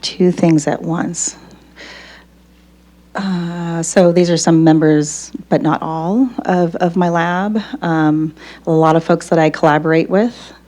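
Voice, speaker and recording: Hoarse woman giving a lecture. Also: on the low side, fairly even intonation, takes it slowly, mellow. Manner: conversational, checks in with the audience. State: hoarse, recovering from losing her voice. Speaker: lecturer, psychology professor. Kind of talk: academic lecture.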